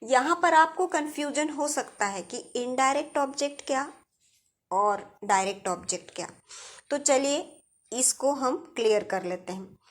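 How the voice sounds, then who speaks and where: A woman's voice: high-pitched, a little slow. A woman with an Indian accent giving a step-by-step grammar lesson as a teacher, addressing her students directly.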